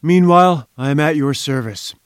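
Man acting a booming, pompous professor. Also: moderate pace, clean tone, no room sound, clean studio sound, natural voice. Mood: scornful, irritated. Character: blustering, scornful, pompous male professor.

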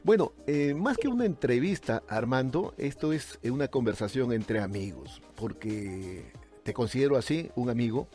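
A man's voice: mid-range voice, lively intonation, moderate pace, mellow, faint music behind. A man hosting a radio interview show, talking with many fillers and restarts.

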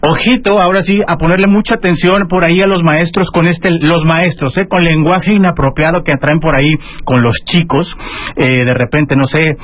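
A man's voice: fairly high pitch, dry recording. Chatty Mexican man hosting a radio news segment. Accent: Mexican Spanish. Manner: chatty, warm address to listeners, many fillers. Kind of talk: casual radio news chat. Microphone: studio microphone.

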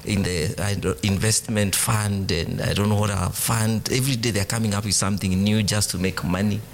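Give me a deep-voiced Kenyan man, measured and earnest as he speaks. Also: steady tone, slight background noise. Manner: measured and earnest, laying out points one by one. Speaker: guest, official of a political movement. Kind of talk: radio studio interview.

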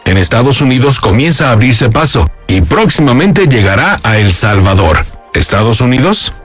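A man's voice: medium pitch, big pitch swings, normal speed, husky. Mexican man narrating over music. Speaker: promotional video narrator. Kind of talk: scripted narration. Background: background music.

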